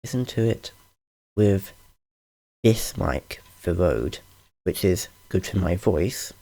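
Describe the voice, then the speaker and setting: Deep, gravelly man; slow, rambling, self-correcting tutorial host, dark tone, studio condenser mic. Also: lively intonation. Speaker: channel host explaining audio gear. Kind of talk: casual screen-recorded tutorial. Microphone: large-diaphragm studio condenser.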